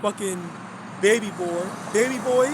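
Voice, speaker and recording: A man's voice: high-pitched, measured pace, noisy background. A young man speaking in a casual monologue. He rambles, restarts, and keeps asking "okay?". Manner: rambling, repeats words, many fillers and "okay?" checks. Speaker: religious vlogger.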